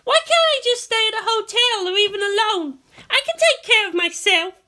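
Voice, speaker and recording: A haughty, hot-tempered teenage girl from an anime-style story. She is high and piercing, with a defiant, grumbling sulk. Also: lively intonation, normal speed, pushed and bright, heavily acted.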